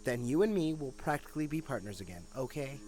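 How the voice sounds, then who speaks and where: A man's voice: medium pitch, expressive, fast talker, close to an everyday voice, low-quality recording. A young man playing a wry, grumbling detective in an audio drama, with a casual, emphatic delivery. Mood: wry, persuasive. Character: wry, grumbling male detective, former partner. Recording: dialogue over clear background music.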